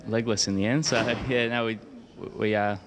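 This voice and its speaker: A man's voice: on the low side, fairly even intonation, moderate pace, noisy background. A young man with an Australian accent giving casual, hesitant, rambling answers as an interviewed athlete. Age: young adult. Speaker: Australian rules footballer being interviewed.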